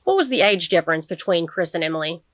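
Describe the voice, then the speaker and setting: A woman reading the part of a courteous male defense attorney, questioning a witness gently and formally as in a courtroom novel. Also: fairly low pitch, lively intonation, quick pace, no echo, lightly acted. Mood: probing.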